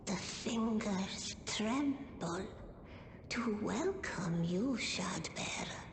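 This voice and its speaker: An actress voicing an ancient, kindly crone seer in a fantasy game, breathy and reverent, drawing out her words in an echoing hall. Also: lively intonation. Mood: reverent, welcoming. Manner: drawn-out, mystical, reverent. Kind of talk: scripted fantasy game dialogue. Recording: long echoey hall, rumbling background noise.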